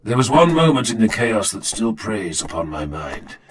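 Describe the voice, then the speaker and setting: A man's voice: lowish voice, sing-song, normal speed, slight background noise, poor audio. A man voicing a stern, brooding warrior chaplain who is guarded in speech, in a first-person audiobook. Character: grim, brooding male warrior chaplain telling his tale. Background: music.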